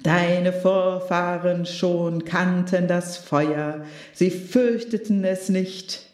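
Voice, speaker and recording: A woman voicing a talking candle flame, the keeper of fire, who speaks slowly and solemnly and allows no objection. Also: on the low side, steady tone, darkish tone, roomy sound, voice pushed up.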